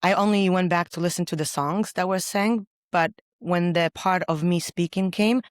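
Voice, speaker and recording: Deep-voiced woman, earnest and halting. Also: no echo, clean studio sound. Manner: earnest, halting, many pauses. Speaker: podcast guest. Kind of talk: personal faith testimony in conversation.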